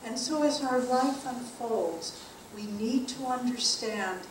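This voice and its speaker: A woman giving an earnest spiritual talk as a teacher, measured and slowing down to stress key phrases. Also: on the high side, noisy background. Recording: echoey room from a distant mic.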